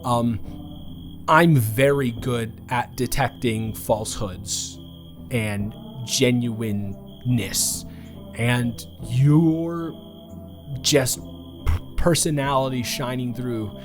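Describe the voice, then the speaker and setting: A man's voice: medium pitch, drawn-out words, music in the background. A young man playing a nervous, earnest, sweetly awkward college student in a romantic audio roleplay, stammering and rambling with emphatic repetition. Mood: sincere, tender.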